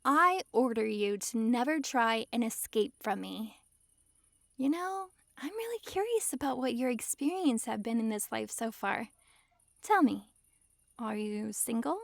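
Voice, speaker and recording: A woman's voice: a little high, expressive, a little slow, gravelly, no room sound, clean studio sound. A woman playing a possessive, lovestruck fox-girl mage in an intimate ASMR roleplay, sweetly controlling and giggly. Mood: possessive, teasing. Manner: sweetly controlling, giggly, swings between gushing and hushed coaxing. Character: possessive, lovestruck young-sounding fox-girl mage, dominant yet giddy.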